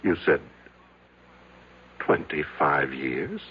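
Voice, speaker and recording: An actor playing a patient, measured doctor in a 1970s radio drama, speaking in an unhurried, gentle, probing way. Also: male voice, extremely low pitch, big pitch swings, heavily acted. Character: patient, measured doctor, a calm professional listener. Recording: muffled old radio broadcast, faint noise.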